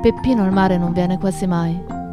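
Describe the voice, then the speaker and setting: An actress playing a reflective, grieving woman who narrates memories of a friend in a radio play, in a measured storytelling manner. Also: fairly low pitch, steady tone, music in the background, close to an everyday voice. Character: reflective, grieving woman recalling her activist friend and his town.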